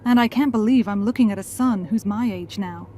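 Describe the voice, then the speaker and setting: A woman's voice: medium pitch, a little fast, warm tone, slight background noise, natural voice. A woman playing a tired, wry and tender mother in an audio drama. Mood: weary, wry.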